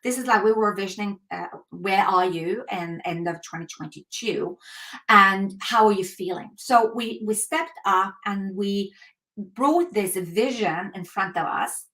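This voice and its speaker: A woman's voice: medium pitch, fairly bright tone, poor audio. Earnest woman coaching a live call. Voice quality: clears throat often. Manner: earnest, emphatic motivational talk with rhetorical questions. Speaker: host of a coaching webinar.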